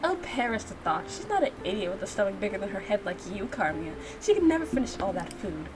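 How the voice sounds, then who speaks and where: A woman's voice: medium pitch, big pitch swings, quick pace, noisy background, close to an everyday voice. A woman voicing a haughty, sly mafia man from a game in a pompous, sardonic way. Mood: scornful. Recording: music behind the voice.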